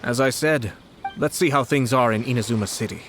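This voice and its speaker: An actor playing a calm, shrewd young nobleman in a video game, speaking in a measured, courteous way with quiet menace. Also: medium pitch, close to an everyday voice. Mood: composed, plotting. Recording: game music behind the voice.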